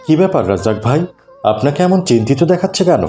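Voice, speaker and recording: Mellow, preachy pious neighbourhood uncle played by a man. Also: medium pitch, very animated, quick pace, faint music behind, lightly acted. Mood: concerned, reassuring. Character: kindly pious older neighbourhood man, patiently advising. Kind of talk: Islamic cartoon dialogue.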